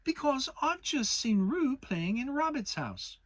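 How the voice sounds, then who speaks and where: A woman plays a young boy from a children's storybook. His voice is light and high with a lively swing. He sounds kindly, earnest and matter-of-fact. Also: unhurried, no room sound, heavily acted. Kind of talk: children's storybook dramatic reading.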